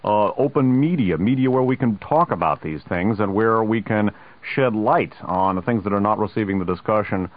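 A man hosting a political radio interview, talking haltingly with many uhs and wry asides. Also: on the low side. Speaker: radio host. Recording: tinny lo-fi radio broadcast.

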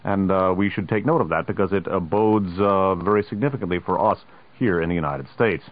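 Halting low-voiced radio host. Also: male voice. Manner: halting, many uhs, wry asides. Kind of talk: political radio interview. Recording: tinny lo-fi radio broadcast.

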